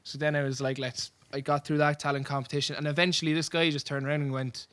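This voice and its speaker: A man's voice: on the high side, level tone, fast talker, a bit bright, clear, resonant tone, no echo. A young man with a Cork Irish accent, a singer chatting casually about his band, rambling and laughing often. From Ireland. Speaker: singer and bandleader. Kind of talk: casual interview chat.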